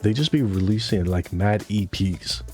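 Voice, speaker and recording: A man, a music producer, talking casually about an album he just heard. He speaks in a laid-back, slangy way with some swearing, and his voice sounds hoarse and tired. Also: on the low side, moderate pace, darkish tone. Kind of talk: casual reaction commentary.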